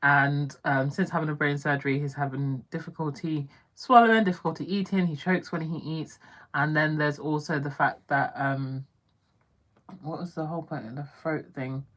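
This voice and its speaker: Low-voiced chatty woman. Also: steady tone, moderate pace, a bit bright. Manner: chatty, laughs often, jumps in to explain. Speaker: co-host of family channel. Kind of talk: casual live chat.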